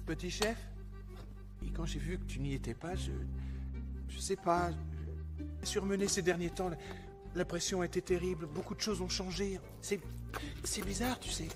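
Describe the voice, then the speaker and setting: Nervous young male cook, man acting, animated film: high-pitched, hesitant, sincere, clear music under the voice. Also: normal speed, pauses often, lightly acted. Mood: apologetic. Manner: hesitant, stammering, earnest.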